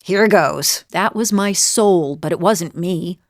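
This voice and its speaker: A woman's voice: on the low side, slow pace, no echo, studio quality. A woman playing a weary, wry middle-aged adventurer in a video game, confiding in a plain-spoken way. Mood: anguished. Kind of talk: video game character dialogue.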